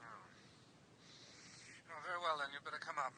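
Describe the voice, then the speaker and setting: An actor playing an evasive, uneasy murder suspect in a radio drama, hesitant and defensive. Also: male voice, fairly high pitch, fairly even intonation, a little fast, pushed and bright. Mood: reluctant, drowsy.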